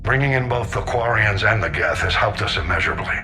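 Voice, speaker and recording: A man's voice: on the low side, expressive, a little fast, sharp tone, soft background music. A man playing a stern, plainspoken older fleet admiral who mentors, in a video game. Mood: grave, encouraging. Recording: clear background ambient noise.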